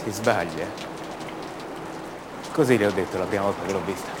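A man's voice: deep voice, background noise, lightly acted. An actor playing a restless, incredulous ordinary man confiding his doubts in a conversational radio theatre monologue. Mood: incredulous, flustered. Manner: conversational, halting repetitions.

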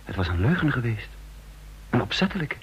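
A man playing a shaken, brooding secret agent who narrates his thoughts in measured, husky tones in a Dutch radio drama. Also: mid-range voice, very animated, poor audio. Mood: brooding suspicion.

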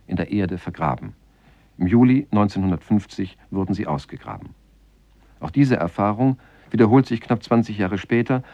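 A man reading a scripted literary essay for radio in a measured, formal manner, as a presenter introducing a play. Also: lowish voice, mellow, no room sound, lo-fi sound. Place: dry radio studio.

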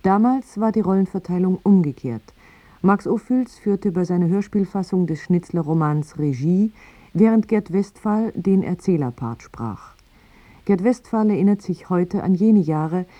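A woman's voice: fairly low pitch, expressive, unhurried, warm tone, no echo, dull audio. A woman radio announcer reading a scripted introduction in a 1980s archive broadcast.

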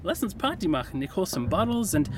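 A chummy, upbeat globetrotter in a radio drama. His voice sits fairly high, and he mixes English with German in hesitant, friendly bursts. Music plays clearly behind him. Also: sing-song, fairly quick. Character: smooth-talking, upbeat male globetrotter mixing English and German.

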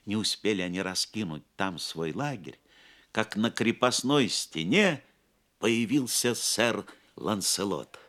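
A man narrating a legend as a scripted audio play, in a solemn, measured manner. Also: on the low side, expressive, fairly bright tone. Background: plucked guitar music.

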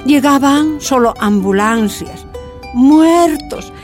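A woman's voice: high-pitched, expressive, takes it slowly, darkish tone, soft background music. A woman playing a miner's daughter telling a sombre first-person memory in a radio drama. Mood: grieving. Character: sorrowful miner's daughter recalling her childhood.

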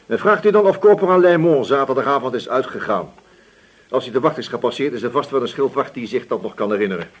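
An actor playing a male police inspector in a radio drama, questioning patiently but insistently with a slightly husky voice and deliberate pauses. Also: mid-range voice, animated, slight background noise, natural voice. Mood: stern, insistent. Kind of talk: Dutch radio drama interrogation acting.

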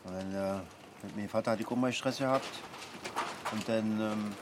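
An actor playing an easygoing middle-aged father in a radio drama, rambling haltingly with a Berlin accent. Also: on the low side, lightly acted. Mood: reminiscing. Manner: colloquial, halting, chatty. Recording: clear street and traffic noise.

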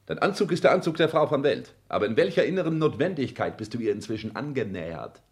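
A man's voice: mid-range voice, animated, fast talker, close to an everyday voice. An actor in a 1960s German radio drama playing an arrogant, ambitious self-made man with a declamatory, commanding delivery. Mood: haughty. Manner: declamatory, haughty, clipped commands. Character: arrogant, ambitious self-made rich son, cold and imperious.

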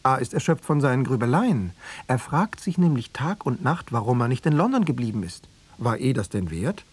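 A man's voice: mid-range voice, very animated, moderate pace, breathy edge. An actor playing a cool, pedantic male East secret police analyst, lecturing in in a self-assured way. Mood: insistent lecturing. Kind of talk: radio play dialogue.

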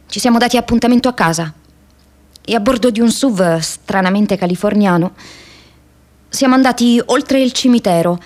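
A woman's voice: mid-range voice, darkish tone, close to an everyday voice. An actress playing an idealistic young American woman activist in a radio theatre monologue, telling her diary expressively in the first person. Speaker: stage actress.

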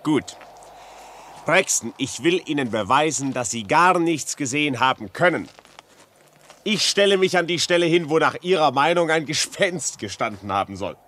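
An actor playing a sceptical, domineering journalist in a radio play, emphatic and excitable. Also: male voice, fairly high pitch, normal speed. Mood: insistent, lecturing. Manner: emphatic, insistent, taunting.

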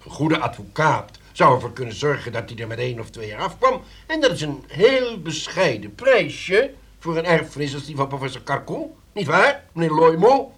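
An actor in a Dutch radio play playing a shrewd, deliberate older relative who poses as a police commissioner, speaking with measured authority. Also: male voice, on the high side, big pitch swings, husky, heavily acted. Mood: stern, assured.

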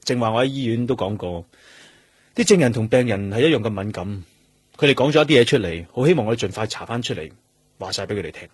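Probing police detective, Hong Kong Cantonese. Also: male voice, medium pitch, animated, breathy edge, no echo. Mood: polite, probing. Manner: measured, questioning, with noncommittal hums. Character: probing male CID police detective, calm and persistent. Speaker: actor. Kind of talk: Cantonese radio drama acting.

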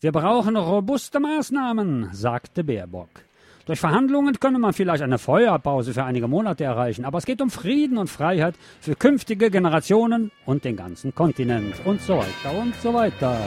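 A man's voice: a little high, wildly swooping pitch, moderate pace, darkish tone, soft background music. A man hosting a radio show, reading news articles aloud in a sardonic way with mocking asides.

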